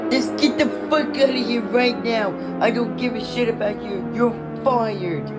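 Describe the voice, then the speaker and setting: A man's voice: very high voice, unhurried, loud music behind the voice. A male voice playing a furious, indignant boss in a scripted parody, barking orders.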